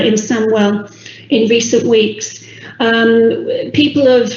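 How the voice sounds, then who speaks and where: Woman, english accent, hoarse, fairly high voice, echoey, dull video call sound. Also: darkish tone, slight background noise. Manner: measured, with frequent ums. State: losing her voice. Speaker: public health officer presenting. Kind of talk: online council meeting briefing.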